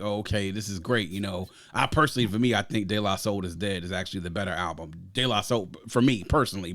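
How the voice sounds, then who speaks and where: A man's voice: lowish voice, a bit bright. A man hosting a music review podcast, giving opinions in an emphatic, conversational way and repeating phrases for emphasis. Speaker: podcast host.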